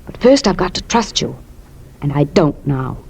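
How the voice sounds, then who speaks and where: An actress playing a bitter wife plotting murder in a 1950s radio drama, speaking with dramatic, clipped intensity. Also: female voice, low-pitched, very animated, normal speed, vocal fry, a little mellow, slight background noise, exaggerated voice. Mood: cold, insistent. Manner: dramatic, intense first-person narration and clipped dialogue. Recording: old radio recording with music behind.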